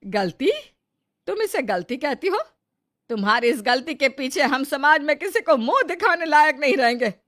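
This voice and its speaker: A woman's voice: sits high, animated, normal speed, fairly bright tone, pitched above the performer's own voice. A woman voicing an angry, honor-obsessed middle-aged mother who scolds harshly in a storybook drama. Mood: outraged.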